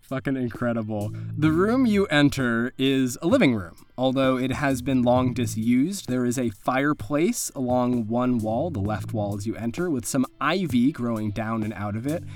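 A man's voice: mid-range voice, lively intonation, moderate pace, few pauses, a little mellow, faint music behind. A man running a tabletop horror game as game master, narrating casually with wry, colloquial, sweary asides.

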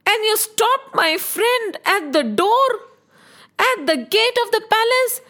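A woman's voice: very high-pitched, sing-song, slow pace, pushed and bright, voice pushed up, full character voice. A woman voicing an emotional, devoted king in a children's story, impassioned and repeating phrases emphatically. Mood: indignant. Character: Krishna, devoted emotional young king overjoyed and anguished.

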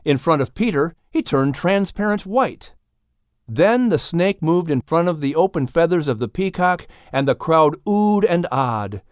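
A woman narrating a children's audiobook with expressive, scripted storytelling. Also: low-pitched, a little slow, dry recording, studio quality.

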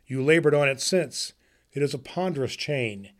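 A man's voice: mid-range voice, animated, moderate pace, close to an everyday voice. A man voicing a mournful ghost bound in chains, reading aloud from a storybook.

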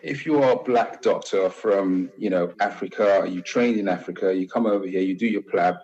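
A man's voice: low-pitched, moderate pace, a little mellow, lo-fi sound. A man with an English accent, a doctor speaking earnestly and searching for words.

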